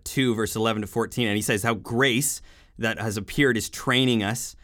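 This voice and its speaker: A man from western Canada hosting a podcast interview, casual and upbeat with quick affirmations. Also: fairly low pitch, fairly even intonation, fairly bright tone, no room sound, studio quality. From Alberta, Canada. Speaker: podcast host.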